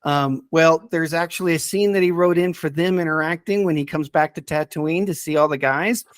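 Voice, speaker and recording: A man hosting a casual podcast, talking enthusiastically but haltingly as he searches for names and details. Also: a little high, dry recording, clean studio sound. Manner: enthusiastic about the subject. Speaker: podcast host.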